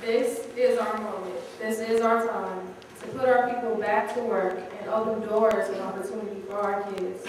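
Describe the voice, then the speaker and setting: A woman's voice: medium pitch, steady tone, normal speed, few pauses. Young woman speechmaking in big echoey church. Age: young adult. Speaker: congregation youth member reading a speech. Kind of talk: read-aloud political speech at prayer service. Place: large church, indoors. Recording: echoey church hall, far off, faint noise.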